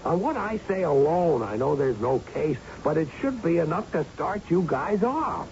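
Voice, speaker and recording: Dogged, animated young reporter. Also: male voice, on the high side, moderate pace. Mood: urgent, insistent. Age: young adult. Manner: insistent, pressing, self-assured. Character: dogged, accusing young male newspaper reporter. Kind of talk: 1970s radio drama acting. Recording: dull, noisy old radio recording.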